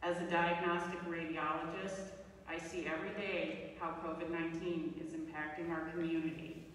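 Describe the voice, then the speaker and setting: A middle-aged woman, a radiologist, reading a formal, matter-of-fact public comment at a school board meeting. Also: lowish voice. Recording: echoey hall, distant mic, faint hiss.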